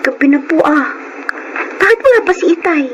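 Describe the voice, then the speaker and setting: A polite worried young filipina daughter, high voice, radio drama, noisy recording. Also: female voice, animated, brisk.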